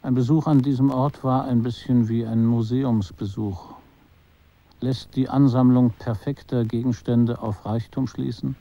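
A man as a detached narrator, creaky and dark. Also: medium pitch, fairly even intonation, measured pace, dull audio, close to an everyday voice. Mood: observant, detached. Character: detached, quietly observant male narrator. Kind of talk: scripted literary narration in a German radio drama.

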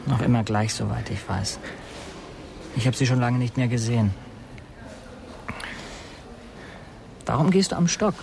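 An earnest young son talks in a radio play. His medium-pitched voice moves up and down a lot, with gentle, questioning concern. There is slight noise in the background. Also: animated, fairly quick, creaky. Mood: hesitant. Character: earnest, caring young son of a broken father. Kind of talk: radio drama acting.

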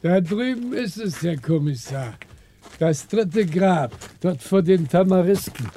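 A man acting a talkative, flustered small-town cemetery caretaker in a 1960s German radio play, excitable and often groping for words. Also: sits high, measured pace, slight background noise. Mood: nervous, chatty. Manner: garrulous, excitable, searching for words. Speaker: actor in a radio crime drama.

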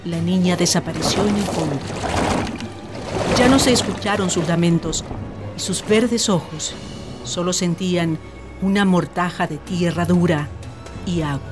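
A woman's voice: on the low side, measured pace, pauses often. A woman with a Chilean accent narrating a radio drama as a scripted legend, solemn and dramatic. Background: music.

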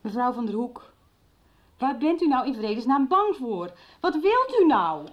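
A woman's voice: high-pitched, big pitch swings, unhurried. An actress playing an earnest, indignant schoolteacher in a radio drama, direct and insistent in her questions. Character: indignant, earnest female schoolteacher defending herself. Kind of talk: 1990s radio drama acting. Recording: slightly dull radio drama recording.

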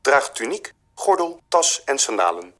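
Clipped, lilting videophone operator. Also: male voice, medium pitch, big pitch swings, a little slow, fairly bright tone. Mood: official, polite. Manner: clipped, official. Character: polite, curt videophone service operator. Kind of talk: radio drama acting.

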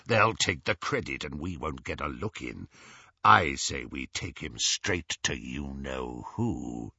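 A man voicing a menacing, predatory werewolf gang leader in a raspy, growling, breathy voice, slow and quietly threatening. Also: extremely low pitch, lively intonation, no room sound, dull audio, voice pushed down, full character voice.

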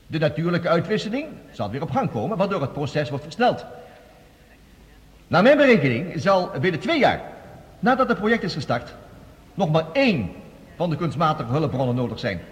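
An actor playing a confident, visionary scientist, giving a formal, eloquent declamatory address in a radio drama. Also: male voice, a little high, very animated, normal speed. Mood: assured, explanatory. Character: visionary, confident male scientist presenting a bold Mars plan. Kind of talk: Dutch radio drama acting, formal speech. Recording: long reverberant hall.

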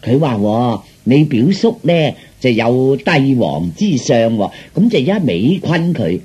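A man's voice: medium pitch, very animated, takes it slowly, warm tone, husky, full character voice. A man voicing a nagging, exasperated middle-aged wife in a Cantonese comic radio play, scolding and complaining emphatically.

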